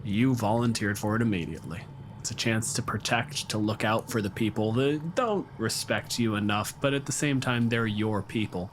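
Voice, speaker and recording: Male game master, lowish voice, casual improvised storytelling and asides, clear noise behind him. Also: moderate pace. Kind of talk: improvised narration of a tabletop game.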